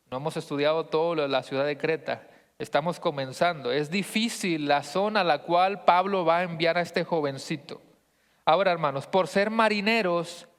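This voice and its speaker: A male pastor with a northern Mexican accent delivers a teaching sermon in a high, smooth, clear voice. He talks conversationally to his congregation, often checking in with short questions. His words ring with the long reverb of a hall. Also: fairly bright tone. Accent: northern Mexican Spanish. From Monterrey, northern Mexico.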